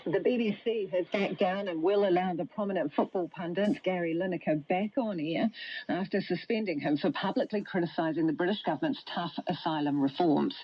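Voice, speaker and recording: A woman news anchor reading a scripted bulletin, heard over shortwave radio. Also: fairly low pitch. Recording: dull shortwave radio, faint noise.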